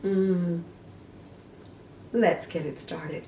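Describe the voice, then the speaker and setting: A woman's voice: fairly low pitch, steady tone, drawn-out words, mellow, slight background noise. A woman host informally introducing books and poems. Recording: echoey room, distant mic.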